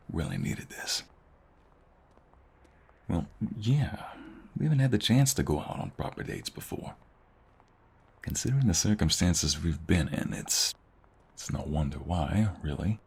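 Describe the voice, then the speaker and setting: Husky, very gravelly man acting a tender young husband. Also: low voice, heavily acted. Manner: tender, teasing, hushed, lingering delivery with sighs and soft chuckles. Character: affectionate, teasing young husband, mobster's son on the run. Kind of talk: intimate ASMR roleplay acting.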